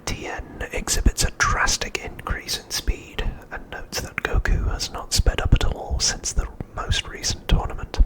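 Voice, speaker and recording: A man narrating an episode recap as an ASMR host in a hushed, breathy, unvoiced whisper, with deliberate delivery. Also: low-quality recording.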